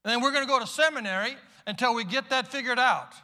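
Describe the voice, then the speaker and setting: Male preacher, very high and ringing tone, emphatic delivery that drops to hushed asides, church hall reverb. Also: fast talker. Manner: emphatic, swings between loud calls and hushed asides. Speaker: pastor. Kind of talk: sermon. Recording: long reverb of a large hall.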